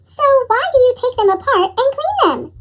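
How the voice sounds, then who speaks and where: A narrator voicing an earnest, curious young boy in a storybook, with a squeaky chipmunk voice effect. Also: animated, normal speed, fairly bright tone. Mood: curious, puzzled. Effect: chipmunk voice pitch-up effect.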